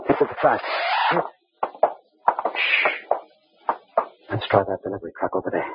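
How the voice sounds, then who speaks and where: An actor playing a commanding, protective boss who gives brisk, urgent orders in 1950s radio drama style. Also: male voice, sits low, lively intonation. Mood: tense, decisive. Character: commanding, protective male boss taking charge of escape. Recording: noisy muffled old radio recording.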